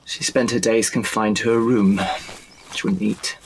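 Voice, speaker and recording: An actor as a stoic, tired Victorian father in a radio play, holding grief down. His voice is fairly low and halting, with faint noise behind it. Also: fairly even intonation, rough recording. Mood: weary, resigned. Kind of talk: audio drama acting.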